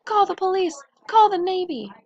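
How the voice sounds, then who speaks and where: An excitable storybook swim coach, played by a woman, barks orders at high pitch. He is bossy and loud, shrieking his commands. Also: normal speed, muffled recording. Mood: panicked shrieking.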